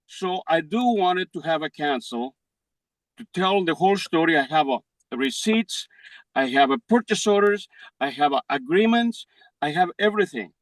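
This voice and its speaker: A man's voice: a little high, expressive, normal speed, no room sound, poor audio. An older man with a Greek accent, English his second language, pleading as a defendant in a courtroom in broken English. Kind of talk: courtroom testimony.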